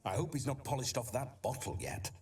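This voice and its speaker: A man's voice: mid-range voice, brisk, recorded from a distance, very noisy, close to an everyday voice. An actor playing a mischievous, bored ghost nobleman in a video game, theatrical and whimsical.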